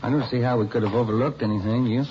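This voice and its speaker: An American man playing a plain-spoken, methodical police detective's partner in a vintage radio crime drama, low-key and businesslike. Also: steady tone, racing, music in the background, natural voice. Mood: puzzled, probing. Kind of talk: 1950s radio acting. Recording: muffled, noisy vintage radio recording.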